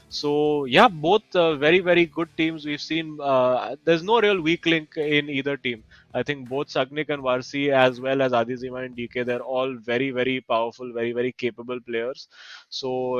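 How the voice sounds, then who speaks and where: A man's voice: medium pitch, fairly bright tone, dry recording, lo-fi sound. A man giving live pre-match analysis as an esports commentator.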